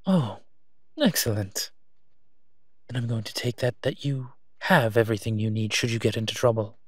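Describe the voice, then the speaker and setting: A man playing a teasing, protective monster detective in human form, gentle and husky, in an intimate audio roleplay. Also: medium pitch, no echo, clean studio sound, lightly acted. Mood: confident, fussing. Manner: slow, teasing, tender endearments.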